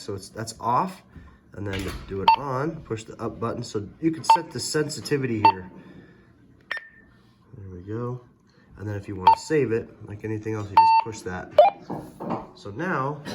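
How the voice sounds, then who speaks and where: Guy with kinda low voice doing a gadget how-to, hesitant, step by step, really noisy audio. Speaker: product reviewer, presenter. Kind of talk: casual hands-on gadget tutorial. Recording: very noisy, electronic beeps and clicks.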